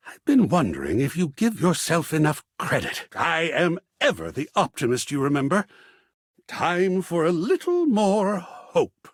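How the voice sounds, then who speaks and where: Husky, wildly expressive theatrical artist. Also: male voice, on the high side, no room sound, studio quality, full character voice. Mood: hurt, confused, then tender, hopeful. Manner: florid, dramatic, formal phrasing. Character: effusive, sensitive, theatrical male artist, an art-obsessed optimist. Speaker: voice actor playing a video game character. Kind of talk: theatrical video game character dialogue.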